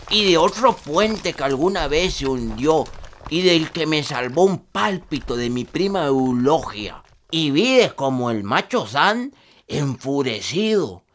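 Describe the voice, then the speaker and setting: A man's voice: fairly high pitch, a bit bright, slight background noise, heavily acted. An actor playing an older Costa Rican rural foreman who tells his story in a folksy, colloquial way for a radio drama.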